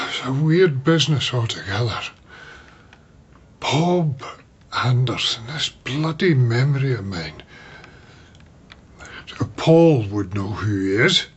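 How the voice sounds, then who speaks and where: A man's voice: mid-range voice, expressive, slightly rough, exaggerated voice. An actor in a radio drama playing a retired Scottish solicitor, an older man who is hesitant and forgetful. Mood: puzzled, fretful. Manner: halting, fretful. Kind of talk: naturalistic radio drama acting. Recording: slightly muffled radio drama sound.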